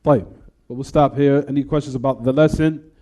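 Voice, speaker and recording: A man's voice: medium pitch, steady tone, quick pace, dark tone. A man teaching a religious lesson, reading a text aloud and explaining it, often asking the class rhetorical questions. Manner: reads quoted text then explains, asks the class rhetorical "what?" questions; switches between English and recited Arabic. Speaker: Islamic teacher.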